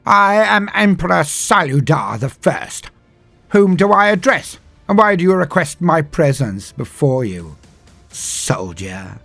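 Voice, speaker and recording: A man's voice: a little high, sing-song, slow, gravelly, a bit bright, no room sound, pitched above the performer's own voice, exaggerated voice. A man voicing a haughty, vain emperor with a pompous, projecting delivery for a sci-fi story. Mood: imperious, loud. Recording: music under the voice.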